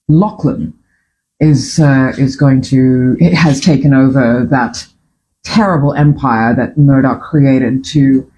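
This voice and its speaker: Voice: mid-range voice, darkish tone, a little hiss or hum, rough recording. Rambling host with a Fijian accent. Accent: Fijian English. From Fiji. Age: 50s. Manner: opinionated, rambling, with frequent restarts and swearing. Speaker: podcast host. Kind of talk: casual morning chat.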